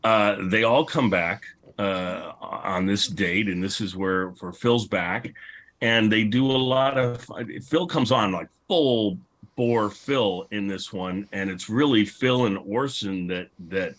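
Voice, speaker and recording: Very low-voiced man hosting a discussion. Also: slow pace, a bit bright. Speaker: panel moderator. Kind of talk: casual panel discussion about old radio.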